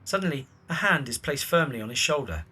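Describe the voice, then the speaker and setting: A man's voice: medium pitch, big pitch swings, a little fast, sharp tone, breathy edge. A man narrating scripted scenes as stage directions for an audio drama.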